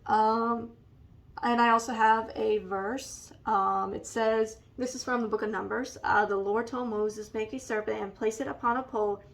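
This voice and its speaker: A woman with a raspy, allergy-hoarse voice, slowly and hesitantly showing a scrapbook in a casual vlog. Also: on the high side, steady tone, far from the mic. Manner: slow and hesitant, many ums. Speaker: vlogger. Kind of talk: casual show-and-tell vlog.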